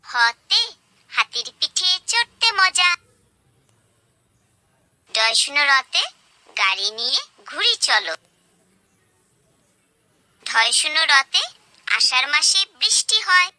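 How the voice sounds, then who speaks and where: A woman reciting children's alphabet rhymes in a singsong way, enunciating each phrase deliberately as a presenter for small children. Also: high voice, very animated, bright, forceful tone, no echo.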